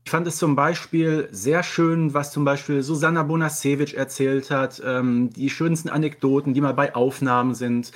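A man's voice: medium pitch, fairly even intonation, normal speed, hardly any pauses. A man talking casually and at length as a podcast guest, in an earnest, wordy manner. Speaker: podcast guest, fan podcast co-host. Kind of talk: casual interview chat.

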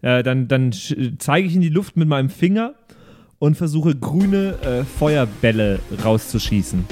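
A man's voice: mid-range voice, animated, measured pace, a little mellow, soft background music. A man chatting casually as a player in an improvised tabletop role-playing game.

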